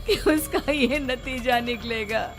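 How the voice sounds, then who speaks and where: A woman acts out an overwrought mother burdened by guilt. Her high voice pleads in full melodrama, as in a moral story. Soft music plays behind her. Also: slow. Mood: remorseful. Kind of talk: melodramatic Hindi audio story acting.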